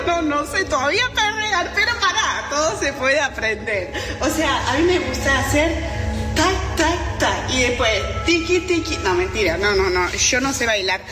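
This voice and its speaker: A French-born young woman jokes about herself in quick, playful slang, her voice pitched high. She sounds like she is in a reverberant room with a long tail on every word. Loud music plays behind her. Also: animated. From France. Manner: playful, slangy and self-mocking. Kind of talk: social media clip.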